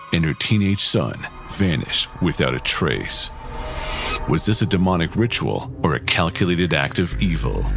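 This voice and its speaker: A male announcer gives dramatic, ominous trailer narration. His voice is fairly deep, with music clearly playing underneath. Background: clear music bed.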